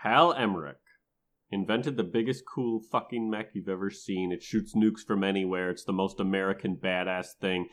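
A man's voice: sits low, moderate pace. Casual, jokey male podcast co-host. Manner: jokey, slangy retelling. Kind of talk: casual chat recapping a video game story.

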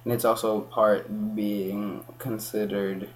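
A man's voice: fairly low pitch, level tone, takes it slowly, slight background noise. A young man from Kenya in casual chat, rambling with many restarts and self-corrections.

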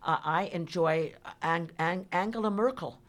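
An older woman being interviewed on a radio show, talking in an animated, emphatic way with a faintly noisy background. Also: on the low side, measured pace. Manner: animated, emphatic, spiritual-minded. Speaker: guest.

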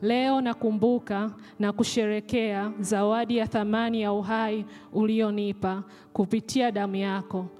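A woman from Tanzania with a Tanzanian accent, a native Swahili speaker, calmly leading a communion prayer in church. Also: medium pitch, normal speed, hardly any pauses. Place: large church hall. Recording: church hall reverb with soft music.

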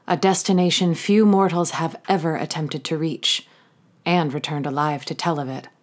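Woman, audio drama narrator, lowish pitch, theatrical, scripted recap delivery.

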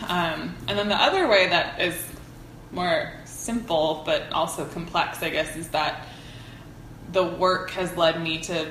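A woman's voice: lowish voice, a little slow, a bit bright, reverberant, slight background noise, rough recording. A woman talking casually and thoughtfully in an interview, searching for her words as she goes. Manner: thoughtful, informal, searching for words in long winding sentences. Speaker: interview guest, folk herbalism teacher. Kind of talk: casual reflective interview talk.

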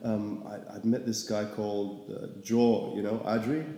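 A young man from Singapore chats loosely as an interview guest, filling his sentences with ums and asides. His voice is dark and mellow and sits a little low. The room is very echoey, with a long reverb trailing after each phrase. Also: a little slow, a little hiss or hum. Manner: relaxed, rambling, many fillers. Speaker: artist guest. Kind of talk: casual interview.

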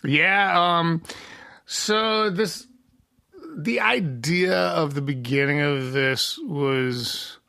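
A man's voice: a little high, extremely slow, creaky, bright, forceful tone, no room sound, studio quality. A man guesting on a podcast, chatting casually about his songwriting in a rambling way with lots of fillers.

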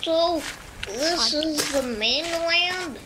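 A child acts out an earnest little make-believe creature in a children's audio drama. The voice is very high and slow, with deliberate delivery. Clear noise runs behind it. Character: earnest young make-believe adventurers, mermaid and turtle, slightly formal.

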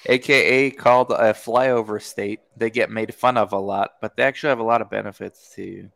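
A man hosting a podcast interview, talking in an energetic, informal way. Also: on the low side, normal speed, vocal fry, dry recording. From United States. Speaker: podcast host. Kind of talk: casual interview chat.

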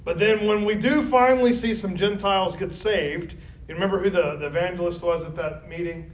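A man's voice: high voice, steady tone, brisk. A man teaching a Bible study class, talking conversationally and often asking the group questions. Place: classroom or church meeting room. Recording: roomy, distant, dull lo-fi with faint noise.

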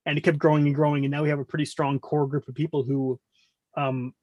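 Casting: middle-aged man, slightly higher-pitched, chatty podcast guest with a rambling delivery. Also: little pitch movement, fast talker, no room sound, muffled recording. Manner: talkative, rambling, self-interrupting. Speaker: podcast guest, music podcast host. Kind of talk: casual conversational interview.

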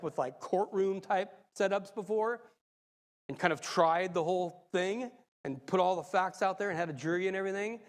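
Man giving a sermon, high voice, slightly raspy and hoarse, excitable, long hall reverb. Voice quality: raspy from a cold. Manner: excitable, laughs often. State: hoarse, recovering from a cold. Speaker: lay preacher.

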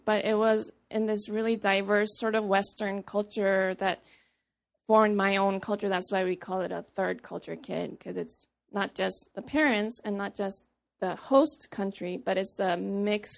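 Earnest woman with a gravelly edge. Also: medium pitch, fairly even intonation, moderate pace. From Papua New Guinea. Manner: earnest, conversational, restarts and acts out dialogue. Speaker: speaker sharing a testimony. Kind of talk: personal testimony talk.

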